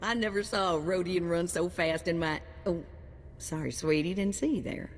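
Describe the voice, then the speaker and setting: A woman's voice: on the low side, fairly even intonation, moderate pace, slight background noise, lightly acted. A woman playing a folksy, familiar, straight-talking handler of bounty hunters in a video game. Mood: chummy. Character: folksy, chummy female bounty hunt handler. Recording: long reverb.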